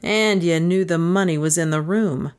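A woman reader voicing a fierce male detective who jeers and presses hard, in a fairly low voice. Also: normal speed, clear, resonant tone, natural voice. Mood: hostile. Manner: jeering, forceful.

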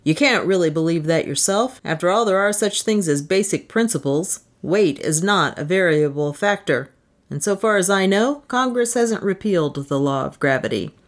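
A woman reads the part of a skeptical male office boss in a comic story. He is dry and scoffing, wisecracking with animated swings of pitch. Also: normal speed, lightly acted.

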